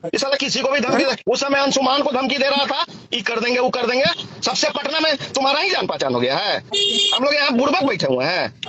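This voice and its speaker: A man's voice: very high voice, animated, brisk. An angry man with a Bihari accent argues heatedly as a domineering boss, confrontational and repeating himself. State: angry, agitated. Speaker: medical officer in charge, speaking as a boss. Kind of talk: heated phone argument. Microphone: phone call. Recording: muffled phone call recording with background chatter.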